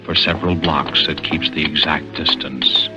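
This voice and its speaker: Very deep, ominous radio thriller narrator. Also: male voice, fairly even intonation. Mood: ominous, suspenseful. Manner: ominous, deliberate, dramatic. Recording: dull vintage radio broadcast recording. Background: dramatic music.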